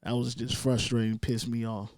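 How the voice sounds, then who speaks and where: A man with a medium-pitched voice in a dry, close sound. He co-hosts a casual chat and speaks informally, with plenty of slang. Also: level tone, moderate pace, darkish tone, no room sound. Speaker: co-host.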